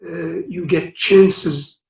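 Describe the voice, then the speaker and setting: Slow, hesitant Moroccan-born man. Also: a little high, fairly even intonation, gravelly. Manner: hesitant, many fillers and restarts. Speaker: guest speaker. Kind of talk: career talk. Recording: echoey room.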